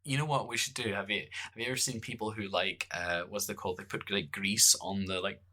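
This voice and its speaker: Bright-toned Northern Irish male host. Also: fairly low pitch, fairly even intonation, fairly quick. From Northern Ireland. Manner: rambling, jokey, many fillers. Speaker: podcast host. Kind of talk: casual conversational interview.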